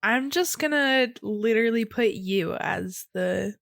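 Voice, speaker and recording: A woman's voice: medium pitch, expressive, a little slow, vocal fry, fairly bright tone, no room sound, studio quality. A woman co-hosting a podcast with casual, playful and teasing banter. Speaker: podcast co-host.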